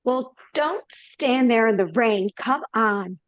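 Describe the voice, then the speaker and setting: A woman reads stage directions and the stepmother in a plain, matter-of-fact way, her voice sitting a little high. The video-call audio is dry and somewhat dull. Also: lively intonation, takes it slowly, no echo. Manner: plain, matter-of-fact reading, with brief stumbles. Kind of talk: live read-through over video call.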